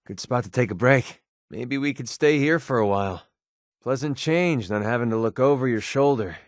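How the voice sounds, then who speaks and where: A man's voice: mid-range voice, moderate pace, dry recording, clean studio sound, lightly acted. A man with an American accent playing a sarcastic, wisecracking young mercenary companion in a video game. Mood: calm, easygoing. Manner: sardonic, wisecracking, colloquial. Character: wry, sarcastic young male wasteland mercenary. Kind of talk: video game companion dialogue, naturalistic acting.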